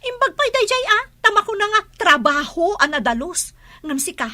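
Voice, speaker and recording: A woman's voice: very high voice, big pitch swings, normal speed, pushed and bright, dry recording, exaggerated voice. A woman acting in a radio drama as a fed-up wife scolding her drunkard husband, nagging and sarcastic. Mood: scornful, scolding.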